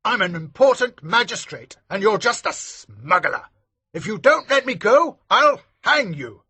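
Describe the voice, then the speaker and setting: A shrill, panicky magistrate. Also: male voice, big pitch swings, measured pace, sharp tone, breathy edge, no room sound, exaggerated voice. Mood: indignant. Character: frightened pompous male magistrate, middle-aged. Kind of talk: audiobook character voice.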